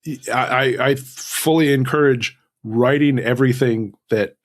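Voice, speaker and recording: A man's voice: mid-range voice, a little slow. A man talking casually as an interview guest, telling stories in a self-deprecating way with acted-out asides. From Southern California. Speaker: guest author.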